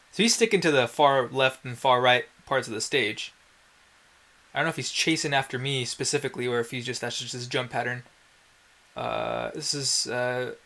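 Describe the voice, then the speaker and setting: A man's voice: medium pitch, sharp tone. A man giving casual gameplay commentary in a wry, self-deprecating way, with some mild swearing. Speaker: let's play host.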